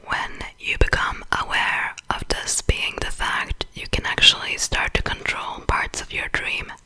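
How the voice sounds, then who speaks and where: A young woman with a Swedish accent, whispering softly and slowly as an ASMR creator. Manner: soft unvoiced whisper, slow and unhurried.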